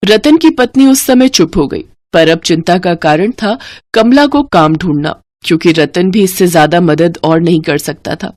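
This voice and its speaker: A woman's voice: on the low side, moderate pace, a little mellow, no echo, clean studio sound. A woman narrating a scripted moral story as the storyteller.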